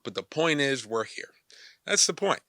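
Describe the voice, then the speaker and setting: A man's voice: medium pitch, moderate pace, no echo, clean studio sound. Stuffy young man hosting a sports show. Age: young adult. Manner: energetic, chatty, loose slang. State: stuffy from a cold. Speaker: podcast host. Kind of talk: casual sports talk.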